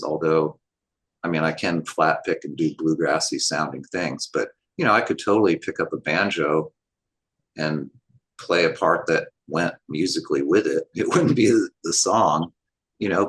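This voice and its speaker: A man's voice: low-pitched, a bit bright, husky. A man sharing in a group call, talking in a loose, rambling way with many asides. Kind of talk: casual chat.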